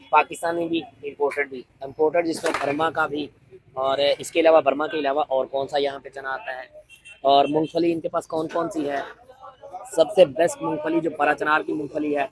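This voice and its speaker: A man's voice: fairly high pitch, poor audio. A man with a sore throat, hosting a casual shop tour vlog and chatting with shopkeepers and customers. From Pakistan. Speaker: vlogger host. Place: busy dry fruit shop.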